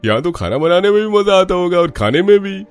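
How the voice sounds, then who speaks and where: A man's voice: high-pitched, very animated, fairly quick, faint music behind. Man playing a folksy, easily amazed village uncle. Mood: amazed, pleased. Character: friendly, amazed village uncle, middle-aged. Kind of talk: Hindi cartoon character dialogue.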